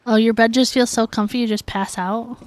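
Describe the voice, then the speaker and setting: A woman's voice: fairly high pitch, steady tone, fairly quick. A woman livestreaming a video game, chatting casually in an easily amused, giggly manner. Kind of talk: casual livestream gameplay chat.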